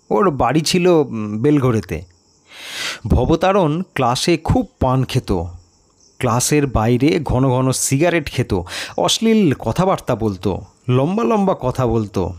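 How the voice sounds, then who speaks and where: Slow, expressive male storyteller. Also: mid-range voice. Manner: measured, expressive storytelling with pauses. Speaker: story narrator. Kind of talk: dramatic audiobook narration of a first-person mystery tale.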